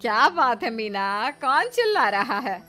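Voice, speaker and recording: A woman's voice: on the high side, big pitch swings, unhurried, bright, forceful tone, soft background music, heavily acted. A woman voicing a firm, protective middle-aged mother-in-law in a cartoon story.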